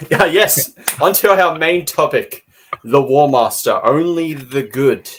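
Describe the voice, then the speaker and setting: A man's voice: a little high, takes it slowly, fairly bright tone, slight background noise. A man hosting a podcast discussion in a casual, conversational style. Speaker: podcast host. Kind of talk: casual chat.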